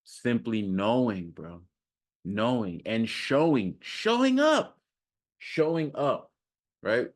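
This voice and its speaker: Male self-improvement coach, mid-pitched, off-the-cuff and emphatic, slangy and repetitive, frequently checking in with the listener. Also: very animated, slow. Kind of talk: casual off-the-cuff motivational talk.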